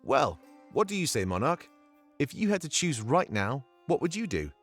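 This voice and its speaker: A man's voice: mid-range voice, big pitch swings, moderate pace, a bit bright, soft background music. A man voicing a persuasive, wry rebel companion in a fantasy visual novel, casual and teasing. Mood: probing, casual. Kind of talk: visual novel game voice acting.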